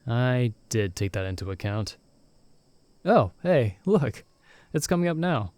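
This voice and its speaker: A soft-spoken young companion from an audio roleplay, played by a man, steady and quietly teasing as he reassures a stranded friend. His voice sits at an ordinary middle pitch for a man. The sound is close and dry, with no room around it. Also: a little slow, dry recording. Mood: gentle, reassuring.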